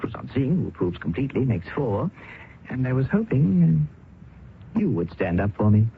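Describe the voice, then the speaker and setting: An earnest young doctor in love. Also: male voice, medium pitch, sing-song, normal speed, vocal fry. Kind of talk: 1970s radio drama acting. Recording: tinny old radio broadcast, faint hiss.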